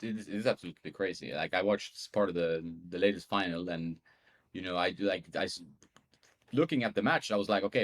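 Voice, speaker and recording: Man, relaxed and thoughtful, low-pitched, chatting as a guest. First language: Swedish. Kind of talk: casual chat.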